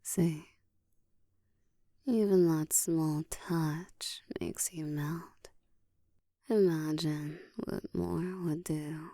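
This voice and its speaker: Casting: woman to play an ancient female vampire, dominant and seductive, low hushed whisper, extremely slow and deliberate, intimate ASMR roleplay. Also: fairly even intonation, no room sound, studio quality, exaggerated voice. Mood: commanding, seductive. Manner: breathy whispering, slow deliberate teasing delivery.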